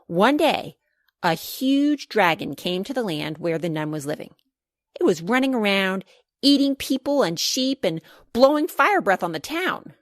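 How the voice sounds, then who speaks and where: A woman voicing an excitable young boy telling a dragon tale with dramatic emphasis for a children's storybook. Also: mid-range voice, measured pace, no echo, clean studio sound. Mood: eager. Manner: dramatic, emphatic, building suspense.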